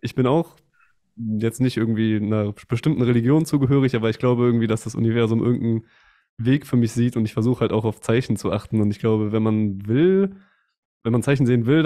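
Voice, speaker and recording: A man hosting a podcast, chatting casually and asking questions in a quick, relaxed way. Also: mid-range voice, dry recording, clean studio sound. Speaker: podcast host. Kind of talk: casual interview chat.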